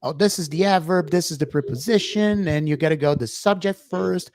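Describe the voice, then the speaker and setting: A middle-aged Brazilian professor with a Brazilian Portuguese accent, co-hosting an educational podcast in a hesitant, filler-heavy manner. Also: male voice, a little high, a little fast, a little mellow. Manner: hesitant, many fillers and restarts. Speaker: co-host, professor. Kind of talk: casual educational podcast chat.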